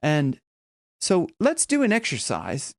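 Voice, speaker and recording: Lively chatty male singing coach. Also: on the high side, slow, no room sound, studio quality. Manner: chatty and emphatic, with vocal demos. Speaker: singing teacher. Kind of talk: casual video lesson.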